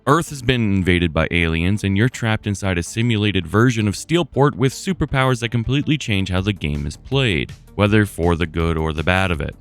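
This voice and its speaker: A man with a fairly low voice presents scripted game commentary as a host. He talks informally and straight to the viewer, with light music underneath. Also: brisk, few pauses. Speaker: video essay host. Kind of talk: scripted narration, gaming retrospective commentary.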